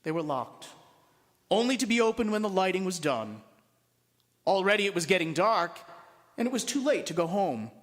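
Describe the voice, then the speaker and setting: Man, high voice, very lively intonation, dramatic storybook reading, priest, big reverberant church. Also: moderate pace, pauses often. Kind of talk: expressive storybook narration to listeners. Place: large church at a Mass. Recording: long reverberant hall.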